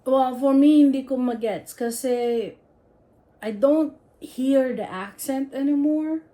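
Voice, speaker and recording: A woman's voice: sits high, takes it slowly, warm tone. A woman reading game questions aloud and teasing her husband in a casual chat. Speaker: wife asking the game questions.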